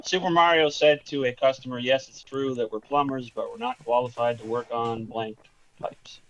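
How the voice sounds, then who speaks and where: A man's voice: medium pitch, steady tone, dull audio, a little hiss or hum. Joking man hosting a live game show. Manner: joking, quick to laugh.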